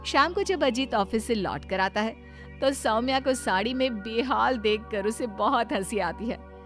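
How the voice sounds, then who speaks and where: High-voiced woman narrating a cartoon story. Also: a little slow, soft background music.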